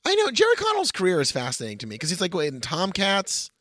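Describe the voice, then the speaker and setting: A man's voice: sits high, huge pitch swings, quick pace, fairly bright tone, dry recording, clean studio sound. A man co-hosting a comedy podcast, bantering and giving dramatic readings of a screenplay in a bossy, sarcastic, mock-earnest way. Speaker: podcast co-host.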